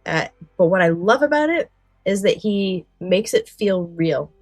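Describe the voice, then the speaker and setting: A woman's voice: mid-range voice, expressive, measured pace, no echo, clean studio sound, soft background music. A woman co-hosting a podcast, explaining a favourite subject with enthusiasm, moving between prepared summary and casual discussion. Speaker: podcast co-host.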